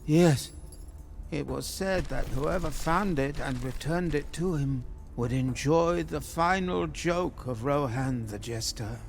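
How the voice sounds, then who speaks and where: An actor playing an absent-minded undead guide and keeper of lore in a video game, speaking theatrically with hesitant rambling. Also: male voice, a little high, takes it slowly, vocal fry, slight background noise. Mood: dramatic. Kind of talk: video game character acting.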